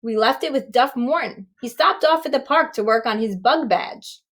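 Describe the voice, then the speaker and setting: A brisk scout leader from a storybook, voiced by a woman reading aloud, talks in a high voice. The manner is commanding. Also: animated, a bit bright. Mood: weary. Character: scout leader, weary yet bossy and urgent.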